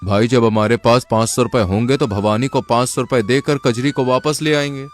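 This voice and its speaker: A man's voice: medium pitch, steady tone, normal speed, lightly acted. A man voicing a boastful, scheming village swindler in a Hindi folk tale, in a theatrical manner.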